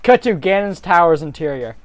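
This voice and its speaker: A woman's voice: on the low side, lively intonation, a little fast. A woman narrating a fantasy story informally, as an amateur reader would, over faint music. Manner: informal, breaking into giggly asides.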